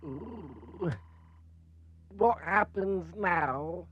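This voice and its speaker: An actor playing a dim, timid, vain villain's sidekick, with a husky voice and a childlike, gormless manner. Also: male voice, sits high, lively intonation, drawn-out words, a bit bright, no room sound, heavily acted. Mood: timid wonder.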